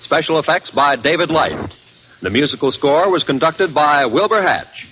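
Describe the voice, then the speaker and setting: A man's voice: on the high side, animated, a bit bright. An American man reading scripted credits as a formal 1940s radio announcer. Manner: formal, measured announcer delivery. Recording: dull, faintly noisy vintage radio recording.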